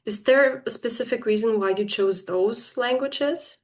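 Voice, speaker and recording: A woman's voice: fairly high pitch, unhurried. A young woman interviewing a music artist, enthusiastic and chatty like a fan.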